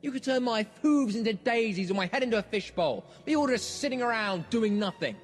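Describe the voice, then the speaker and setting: Man playing animated time-traveller stallion, high-voiced. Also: a little fast, soft background music. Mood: taunting. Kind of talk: fan audio drama acting.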